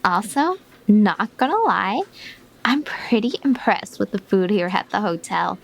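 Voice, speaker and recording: A woman's voice: medium pitch, expressive, measured pace, creaky, low-quality recording. A woman playing an amused, gossipy young woman who chats to herself in an audio drama. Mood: gleeful, musing. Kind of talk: audio drama character acting.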